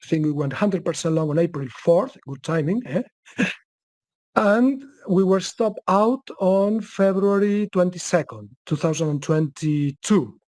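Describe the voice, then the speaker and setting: A man's voice: high voice, a little slow, a little mellow, no echo. A man with a Spanish accent presenting in a webinar and answering questions, often restarting his sentences. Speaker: guest presenter.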